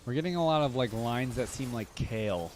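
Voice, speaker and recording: A man's voice: medium pitch, expressive, brisk. A man giving casual reaction commentary on game voice lines, musing and thinking aloud. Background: game music and voice clips.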